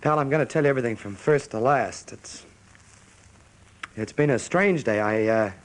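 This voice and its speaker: An actor playing an anguished son in a radio drama, straining to get the truth out in an earnest, halting, pleading way. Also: male voice, medium pitch, close to an everyday voice. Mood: earnest, strained. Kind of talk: stage-style radio drama acting.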